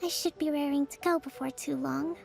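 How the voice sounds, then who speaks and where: A woman voicing a calm young female leader of an island army, speaking in a composed, measured, authoritative way. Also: high voice, creaky. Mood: tired. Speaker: game character. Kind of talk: video game voice acting. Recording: game audio with faint music.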